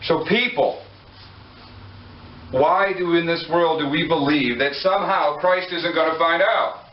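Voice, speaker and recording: A man's voice: a little high, unhurried. Preacher man in an echoey hall. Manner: conversational, joking asides, questions to the room. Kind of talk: sermon to a congregation. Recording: echoey room, far from mic, dull lo-fi.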